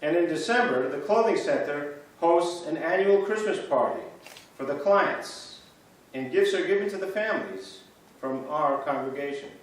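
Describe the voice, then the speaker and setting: A man performing reader's theater, reading scripted church history stories in a measured storytelling way, in a church. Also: fairly high pitch, expressive. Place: church sanctuary. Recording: echoey church from far off.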